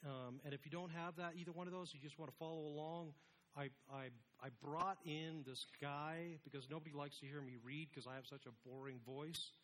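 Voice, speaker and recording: A man's voice: on the high side, steady tone, fairly quick, fairly bright tone. A man preaching an informal, conversational sermon as a pastor, with a casual, self-deprecating manner.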